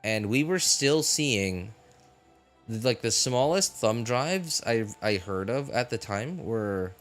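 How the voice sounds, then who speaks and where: A man in his thirties chatting casually as he streams a game, halting and repeating himself as he reminisces. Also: mid-range voice, animated, fairly bright tone. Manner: halting, reminiscing, many hesitant fillers and repeats. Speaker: livestreamer. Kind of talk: casual rambling chat while streaming a game. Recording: game music playing behind the voice. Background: video game music and character dialogue.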